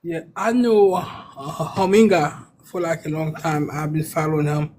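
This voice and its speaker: A man with a Haitian accent shares a personal story in casual chat. His voice sits a little high and he often stumbles and repeats words. There is faint background noise. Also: a little mellow. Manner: halting, stammering repetitions.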